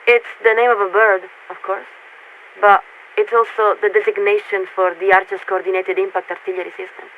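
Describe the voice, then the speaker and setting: A woman playing a poised, politely bureaucratic military signaler in a video game, speaking formally and in measured phrases through a radio filter. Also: mid-range voice, level tone. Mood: assured, lofty. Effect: radio transmission filter. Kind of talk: scripted video game character dialogue. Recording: narrow radio band with static noise.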